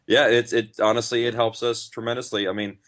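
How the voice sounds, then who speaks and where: A man's voice: medium pitch, little pitch movement, a little fast, fairly bright tone. A man talking casually as an interview guest, in an informal, rambling and enthusiastic way. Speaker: interview guest, podcast writer and director. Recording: dull, lo-fi audio.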